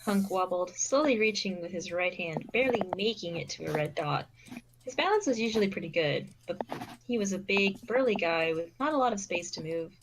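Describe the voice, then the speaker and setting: A woman's voice: fairly low pitch, animated, brisk, background noise. A woman giving scripted narration as the narrator of an amateur fan fiction reading.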